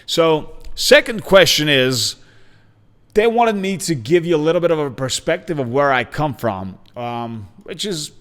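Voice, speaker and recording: A man in his forties from Bulgaria, hosting a podcast and introducing himself in an energetic, talkative way. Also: on the high side, measured pace, clean tone. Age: 40s. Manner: energetic, talkative, with frequent fillers and restarts. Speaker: podcast host. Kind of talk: casual self-introduction monologue. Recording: long hall reverb.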